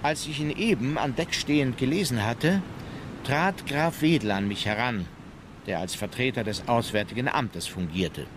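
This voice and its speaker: An actor playing a sober naval officer, narrating a diary factually and formally in a historical radio drama. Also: male voice, medium pitch, normal speed, close to an everyday voice. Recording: clearly noisy outdoor background.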